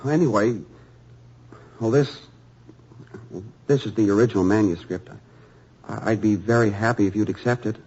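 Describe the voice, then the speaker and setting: An eager young author with a husky, breathy voice that stumbles and repeats words in his earnestness. He sounds humble and grateful. The recording is dull and lo-fi, like an old radio show. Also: on the low side, normal speed, long pauses, a little mellow. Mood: earnest. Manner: earnest, stammering with nervous eagerness. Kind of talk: 1950s radio drama acting.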